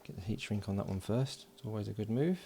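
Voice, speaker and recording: A man giving relaxed, matter-of-fact running commentary as he solders at a home workbench, recorded by an overhead camera. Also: lowish voice, quick pace, creaky, a little hiss or hum. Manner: mutters along while working, relaxed and matter-of-fact. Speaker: electronics hobbyist. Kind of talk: casual build commentary.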